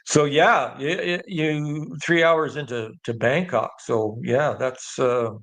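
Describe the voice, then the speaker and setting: A man's voice: fairly high pitch, fairly even intonation, slow pace, a bit bright. An older Canadian man from the Ottawa Valley hosting a casual live stream, rambling with many ums and restarts. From Canada, Ottawa Valley in Ontario. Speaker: livestream host.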